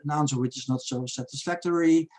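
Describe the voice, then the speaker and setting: A man in his sixties with a Dutch accent, giving a halting academic seminar lecture over a video call and reading out quoted passages. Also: fairly high pitch, mellow. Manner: halting, many fillers, reads Spanish quotes aloud.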